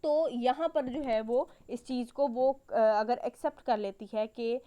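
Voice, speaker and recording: Female law lecturer, slightly high yet soft-toned voice, patient step-by-step explainer. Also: measured pace. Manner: didactic, repetitive step-by-step explaining with frequent check words. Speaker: law teacher. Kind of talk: explanatory lecture, reading legal text and explaining it.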